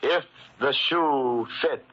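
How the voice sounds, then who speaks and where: An actor playing a solemn, mysterious announcer, dramatic and deliberate, in an old radio show. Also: male voice, medium pitch, a little hiss or hum. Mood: measured. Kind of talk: old-time radio narration. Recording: dull old radio recording, loud music.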